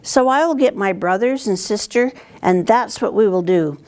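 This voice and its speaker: A woman's voice: medium pitch, normal speed, a little mellow, lightly acted. A brave little girl from a children's storybook, voiced by a woman reader. She is the earnest youngest child. She speaks with resolute determination.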